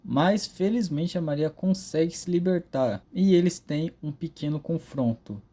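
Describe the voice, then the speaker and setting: A man's voice: a little high, unhurried, heavy background noise. A man with a Brazilian accent giving a casual narrated recap and commentary as a video creator. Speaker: video creator reviewing horror stories.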